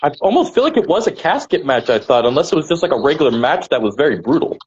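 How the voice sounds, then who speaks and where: Man, easygoing podcast host, slightly high voice, dull lo-fi sound, faint hiss. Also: very animated, quick pace, slightly rough. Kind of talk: casual chat about wrestling.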